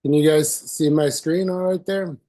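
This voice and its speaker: A man with a hoarse voice from a cold, giving a presentation on a video call with many fillers and restarts. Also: a little high, steady tone, moderate pace, mellow. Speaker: presenter at community meeting.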